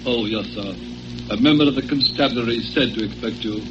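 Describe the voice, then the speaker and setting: An actor playing a stiff, servile butler in a 1940s radio serial, formal and a little hesitant. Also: male voice, medium pitch, brisk, noisy background, lightly acted. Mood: deferential. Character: stiff, deferential male household butler. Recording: dull 1940s radio recording, music behind.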